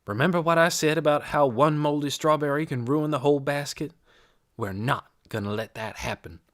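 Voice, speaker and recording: An older small-town busybody woman played by an audiobook narrator, brisk and self-righteous. The delivery is emphatic and folksy, swinging widely in pitch as it preaches. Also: very animated, a bit bright, dry recording, studio quality. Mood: stern, determined. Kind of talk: audiobook character voice.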